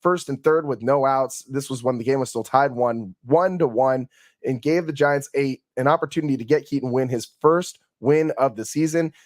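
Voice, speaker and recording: A man hosting a sports podcast, hoarse from yelling the night before, talking through a game recap in a conversational way with frequent restarts. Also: medium pitch, no room sound, clean studio sound. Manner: conversational, stumbles and restarts often. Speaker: podcast host. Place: home studio.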